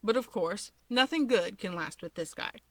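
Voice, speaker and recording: Female story reader, bright crisp tone, close dry recording, expressive scripted narration. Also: medium pitch, normal speed, no echo. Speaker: fan fiction reader.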